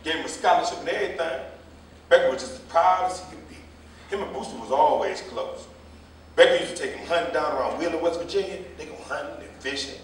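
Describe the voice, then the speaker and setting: A man's voice: a little high, fast talker, close to an everyday voice. A man playing a gossipy, combative older jitney driver in a stage play reading, telling stories in a folksy, rambling, colloquial way. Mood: storytelling. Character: gossipy, combative old male jitney driver, scolding the young. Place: theater or hall staged reading, far mic. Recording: echoey hall, distant mic, faint noise.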